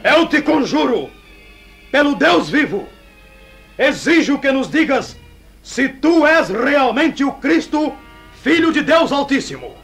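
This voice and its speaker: A stern high priest declaims in a very high, slow voice. He is pompous and commanding, in old-fashioned radio drama style, with music behind him. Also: sing-song, creaky, heavily acted. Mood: stern, accusing. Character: stern high priest presiding over a trial, outraged and commanding.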